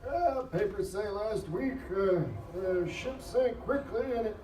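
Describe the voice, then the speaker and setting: A man's voice: high-pitched, slow, dark tone. A man playing a solemn, indifferent former colleague in a live audio drama, offhand and mumbling. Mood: detached. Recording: echoey live stage, distant, faint noise.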